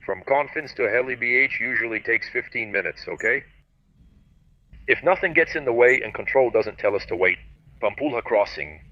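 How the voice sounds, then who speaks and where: A man's voice: mid-range voice, lively intonation, normal speed, no echo, dull audio. A man flying a helicopter, explaining the flight to viewers and making radio calls on a headset. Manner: explains to viewers, reads crisp radio phraseology. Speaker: helicopter pilot. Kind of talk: casual cockpit commentary with radio calls.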